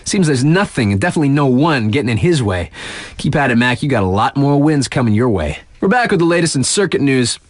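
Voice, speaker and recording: A man's voice: mid-range voice, big pitch swings, fast, no echo, studio quality, natural voice. An actor playing an upbeat, cool male radio DJ in a video game, delivering news patter with chummy slang and hype for the athletes. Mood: upbeat, hyped.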